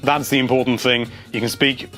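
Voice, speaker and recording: A man's voice: mid-range voice, steady tone, fairly quick, fairly bright tone, faint music behind. A man hosting a casual video, reading out viewer comments and chatting about them in a rambling, enthusiastic way.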